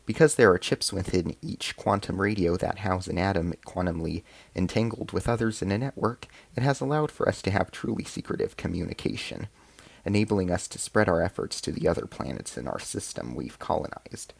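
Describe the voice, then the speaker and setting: A man playing a grave alien rebel squad chief, narrating his war story in a formal, measured way for an audio drama serial. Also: deep voice, lively intonation, creaky, breathy edge, no room sound, heavily acted. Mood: focused. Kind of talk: first-person scripted sci-fi narration.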